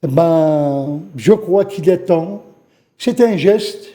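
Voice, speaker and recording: An older man from Algeria giving a halting, emotional eyewitness testimony as a guest on a studio news program, repeating phrases as he recalls events. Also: on the high side, warm tone. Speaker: witness guest. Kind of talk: spoken testimony in a studio interview.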